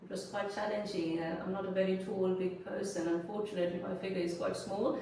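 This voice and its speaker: A woman in her forties with a Sri Lankan accent, giving earnest, measured interview answers as a guest. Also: medium pitch, flat delivery, mellow. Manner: earnest, measured, speaks in lists and principles. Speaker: guest, business consultant. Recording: roomy echo, a little distant from the mic, faint noise.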